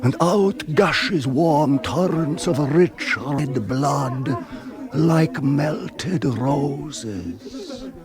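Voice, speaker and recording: An actor playing an ancient vampire count in a radio play, melancholy, sinister and grandly theatrical, savouring each macabre, poetic word. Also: male voice, fairly high pitch, lively intonation, drawn-out words, over a noisy crowd, exaggerated voice. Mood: relishing, macabre. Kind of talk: 1970s radio play narration.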